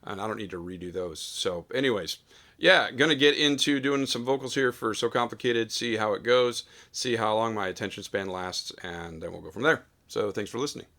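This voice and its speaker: A man recording a casual vlog in his home studio, talking into a studio mic with a big, loud voice and rambling with lots of fillers. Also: lowish voice, a little fast. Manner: rambling, self-deprecating, full of fillers. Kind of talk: casual vlog talk between sung takes. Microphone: studio dynamic mic.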